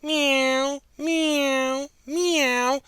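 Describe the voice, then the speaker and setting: A nursery-rhyme reader voicing three young kittens who whine and meow in high squeaky voices. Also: big pitch swings, a little slow, sharp tone, no echo, studio quality, exaggerated voice. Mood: pleading. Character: three little kittens, young, whiny and meowing.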